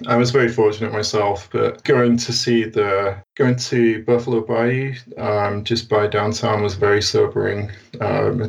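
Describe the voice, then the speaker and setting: The British guest on an interview podcast, a man picking his words carefully in a creaky voice, sitting a bit back from his microphone. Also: fairly low pitch, recorded from a distance, rough recording. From United Kingdom. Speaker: guest, science blogger. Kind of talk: conversational interview answers.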